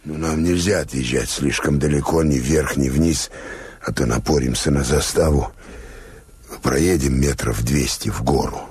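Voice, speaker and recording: Very deep, gravelly elderly doctor. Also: male voice, normal speed, darkish tone, heavily acted. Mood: cautious, grave. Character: tall elderly male doctor, grave, weary, courageous. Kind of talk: Soviet radio drama acting.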